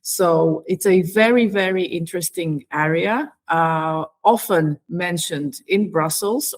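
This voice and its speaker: A woman speaks as an interview guest. She explains things conversationally and in a measured way, pausing between phrases. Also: lowish voice. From Romania. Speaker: podcast guest, regional government EU funding professional.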